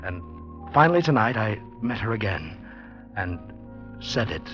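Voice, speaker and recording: A man's voice: fairly low pitch, sing-song, a little slow, music in the background. An actor playing a lovesick, anxious, earnest man with a stammering, melodramatic delivery in an old-time radio drama. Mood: tormented narration. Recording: dull vintage radio recording.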